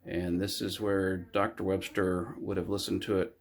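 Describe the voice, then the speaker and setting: An older man giving a casual, explanatory walkthrough in a halting way, pausing between phrases. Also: deep voice, little pitch movement. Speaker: hobbyist presenter restoring a vintage radio. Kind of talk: casual narrated walkthrough and demonstration.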